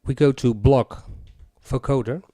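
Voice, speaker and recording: A man's voice: mid-range voice, measured pace, very noisy. A man presenting a hands-on music gear tutorial, explaining methodically step by step.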